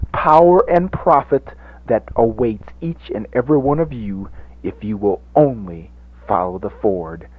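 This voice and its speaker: A man's voice: mid-range voice, close to an everyday voice. A man playing a fervent, greedy radio evangelist, preaching grandly and pompously in a parody sermon. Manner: exhortatory, grandiose, pompous, builds from hushed coaxing to shouting. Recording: tinny phone-like 1980s radio recording.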